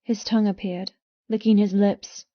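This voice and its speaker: A woman's voice: medium pitch, flat delivery, moderate pace, dry recording. Woman doing dramatic audiobook romance narration, midrange voice, really dry sound, a little muffled.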